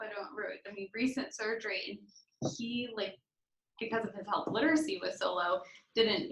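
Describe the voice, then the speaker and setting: A woman facilitating a group discussion as an occupational therapist, chatty with soft trailing phrases. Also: mid-range voice, a little hiss or hum. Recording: roomy sound.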